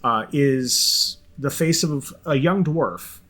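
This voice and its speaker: Brief: male game master, mid-pitched, halting narration with fillers. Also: a bit bright. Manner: halting, many fillers and restarts.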